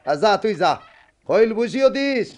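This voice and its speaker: A scheming village headman in a radio play, speaking rural Bangladeshi dialect. He is menacing and domineering, drawing his words out slowly, with pitch that rises and falls widely. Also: sing-song, exaggerated voice. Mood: bossy, irritated. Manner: domineering, barking orders. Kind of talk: radio drama acting.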